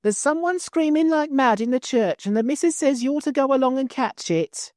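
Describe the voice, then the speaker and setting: A man's voice: extremely high pitch, expressive, moderate pace, a little mellow, no echo, clean studio sound, voice pushed up. Man playing a flustered young maid. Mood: alarmed.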